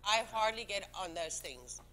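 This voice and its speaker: A sharp, forceful woman whose first language is not English addresses a town board from her seat. She speaks at a table microphone in a council room, pressing her points with emphatic restarts at a middling pitch. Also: animated, moderate pace. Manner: emphatic and defensive, with frequent restarts. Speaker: town commissioner. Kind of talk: speaking at a public board meeting.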